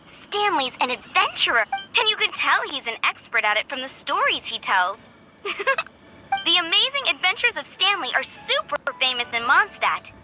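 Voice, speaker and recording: A sweet little girl in a game, with a very high, lively voice. She sounds dreamy, cheerful and earnest, with music clearly behind her. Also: moderate pace, poor audio. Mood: admiring. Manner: giggly, earnest child talk. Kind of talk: animated game voice acting.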